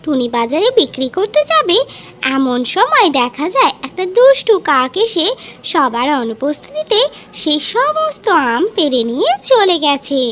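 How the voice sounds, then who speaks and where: A woman's voice: extremely high pitch, very animated, measured pace, a bit bright, slight background noise. A woman narrating a scripted children's story as the storyteller.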